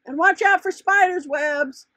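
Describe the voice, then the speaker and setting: A woman's voice: very high voice, unhurried, bright, forceful tone, dry recording, voice pushed up, exaggerated voice. A woman voicing helpful, cautionary butterflies in a children's storybook, speaking in an emphatic, instructive way. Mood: warning. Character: helpful, cautionary older-hand butterflies at the flowers. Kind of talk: children's storybook character reading.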